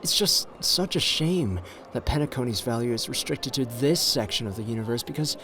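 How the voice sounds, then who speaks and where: A man's voice: medium pitch, lively intonation, sharp tone. A man playing a suave, flirtatious, confident gambler in an ASMR roleplay audio drama, teasing and intimate in the way he talks. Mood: smooth, relaxed. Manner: teasing, intimate, drawn-out phrasing with pet names.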